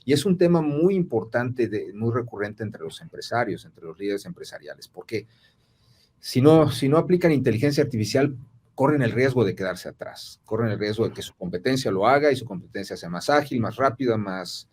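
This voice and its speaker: A man hosting a podcast conversation, asking questions with many filler pauses. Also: medium pitch, animated, a little mellow, husky. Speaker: podcast host. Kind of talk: casual conversational interview.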